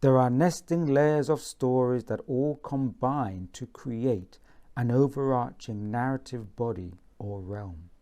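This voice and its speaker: Slow, mellow man reading aloud. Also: mid-range voice. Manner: deliberate, with long pauses between phrases. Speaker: author reading from own book. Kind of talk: measured book reading, bedtime-story narration.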